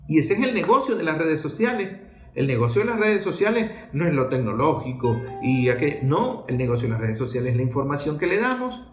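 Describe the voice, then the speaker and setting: High-voiced Venezuelan man, emphatic political talker. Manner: emphatic, didactic, rhetorical questions answered by himself. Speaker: political commentator. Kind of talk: impassioned informal political commentary. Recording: roomy, echoing sound.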